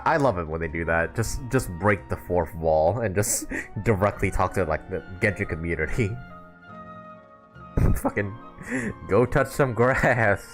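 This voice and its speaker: A man's voice: low-pitched, fairly bright tone. A man doing casual live commentary on a video game, sweary and amused, often giggling. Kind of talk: casual livestream gaming commentary.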